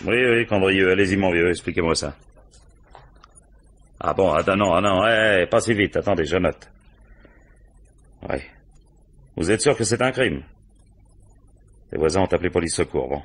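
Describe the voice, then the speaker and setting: Deep-voiced, world-weary police commissioner. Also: male voice, normal speed, pauses often. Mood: brisk, businesslike. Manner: colloquial, muttering, dryly ironic. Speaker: actor playing a police commissioner. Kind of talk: French radio crime drama acting.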